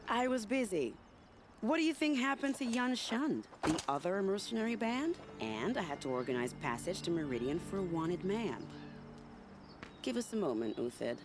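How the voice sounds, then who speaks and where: A woman's voice: mid-range voice, big pitch swings, normal speed, over music, close to an everyday voice. An actress playing a confident, scheming spy in a video game, teasing and theatrical in her delivery. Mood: sly, teasing. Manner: teasing, silky, dramatic. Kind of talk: video game cutscene dialogue acting.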